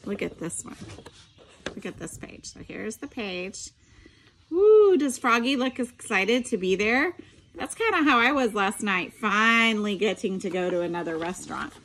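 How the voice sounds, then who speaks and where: A woman's voice: mid-range voice, animated, normal speed, fairly bright tone, a little hiss or hum. A middle-aged woman reading a picture book aloud expressively, with instructive asides spoken directly to students. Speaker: special education teacher reading aloud.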